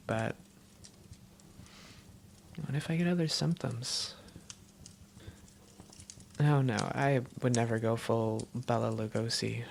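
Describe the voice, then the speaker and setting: Woman playing a low, creaky-voiced affectionate vampire girlfriend. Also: a little hiss or hum, exaggerated voice. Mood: worried, tender. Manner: halting, teasing, intimate. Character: affectionate, self-doubting vampire girlfriend, playful and tender. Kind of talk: cozy roleplay audio, one-sided conversation.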